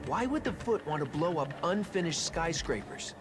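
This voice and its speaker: An actor playing a dutiful, resolute teenage mutant turtle leader in a video game, earnest and commanding. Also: male voice, on the high side, big pitch swings, normal speed, over music. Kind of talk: video game cartoon acting.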